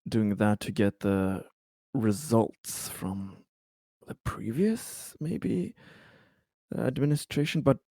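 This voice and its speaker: A man with a lowish voice takes part in a tabletop roleplaying game. He speaks slowly and haltingly, choosing formal phrases with care, on a dry, close recording. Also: dark tone, no echo. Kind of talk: improvised in-character discussion.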